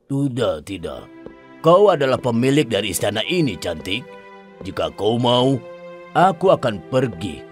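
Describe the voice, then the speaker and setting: A man's voice: medium pitch, sing-song, faint music behind. A man as a courteous, lovelorn fairy-tale beast. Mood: humble, pleading. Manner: polite, earnest, measured. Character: gentle, courteous, lovelorn male beast in a castle. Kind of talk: cartoon fairy-tale voice acting.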